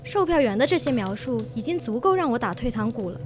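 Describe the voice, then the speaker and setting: High-voiced courteous interviewer, played by a woman. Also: very animated, quick pace, music in the background. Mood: curious. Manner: polite, inquiring. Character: attentive, courteous female magazine interviewer. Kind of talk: radio drama acting.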